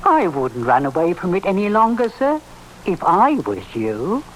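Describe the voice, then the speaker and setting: A man acting a blind, deferential old villager with halting speech in a radio drama. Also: sits high, sing-song. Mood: weary, earnest. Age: older. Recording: faintly noisy old radio recording.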